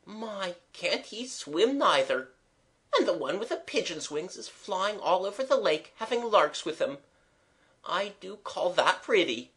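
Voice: mid-range voice, big pitch swings, measured pace, fairly bright tone, voice pushed up. A rapt young working-class maid from a storybook, as a narrator puts her on. The voice sits well above the reader's own, with colloquial, unschooled phrasing and open wonder. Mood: rapt, delighted.

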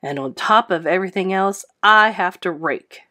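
A woman's voice: on the low side, normal speed, bright, forceful tone. A woman as a weary, strained schoolteacher wife. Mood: gritted teeth. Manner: terse, strained.

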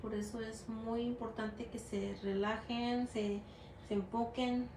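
Middle-aged chicana lady rambling thru a hands-on class, kinda high voice, flat tone, echoey room. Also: slow pace, background noise. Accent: Mexican-American Spanish. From United States. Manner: rambling and chatty, many fillers, mixes in English words. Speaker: gelatin art teacher. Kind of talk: casual hands-on class demonstration. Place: indoor work table. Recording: echoey room with faint ambience.

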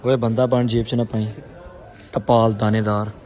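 A man's voice: on the low side, flat delivery, a little slow, darkish tone. A young man, a friend on the vlog, teasing and joking in casual banter. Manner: joking, teasing, mixes Punjabi phrases. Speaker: friend of the vlogger. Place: busy shopping mall. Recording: phone audio with a crowd behind.

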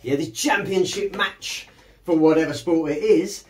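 Man, thirties, high voice, chatty music teacher, rambling, encouraging, distant camera mic. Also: a little slow. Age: 30s. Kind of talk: casual songwriting lesson with children. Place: small home music studio, indoors. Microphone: camera across the room.

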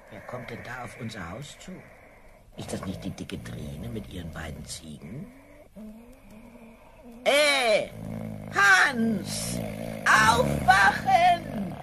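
A woman's voice: a bass voice, huge pitch swings, slow pace, creaky, pauses often, background noise, heavily acted. An actress playing a fussy, long-suffering middle-aged peasant mother in a children's fairy-tale radio play, fretful and full of exclamations. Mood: exasperated, fretful.

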